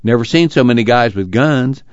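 A man's voice: medium pitch, moderate pace, no echo, muffled recording, close to an everyday voice. A man voicing a blunt, skeptical young farm laborer in an audiobook. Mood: glum. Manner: drawling, ungrammatical rural speech.

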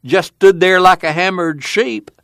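A man voicing a gleeful, folksy farm father from the in an audiobook, excitable and tumbling over his words. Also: on the high side, measured pace, dry recording, studio quality, pitched above the performer's own voice, heavily acted. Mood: gleeful, teasing. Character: gleeful rustic farm father, chuckling storyteller. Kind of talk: audiobook character acting.

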